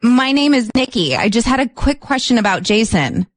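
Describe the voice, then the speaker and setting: A woman's voice: on the high side, big pitch swings, moderate pace. A woman co-hosting a radio show, chatty and direct, asking probing questions in a casual way.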